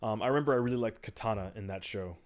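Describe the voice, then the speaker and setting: A man's voice: lowish voice, very fast, slight background noise. A man in his thirties hosting a podcast, chatting casually and rambling with fillers. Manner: relaxed, rambling, trails off with fillers. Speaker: podcast host. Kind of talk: casual chat.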